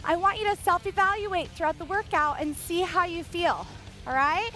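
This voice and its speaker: A woman leads a workout as a fitness instructor, talking in a very high voice. She coaches with motivation and encouragement over background music. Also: normal speed, bright, forceful tone. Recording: music behind, played from a workout video.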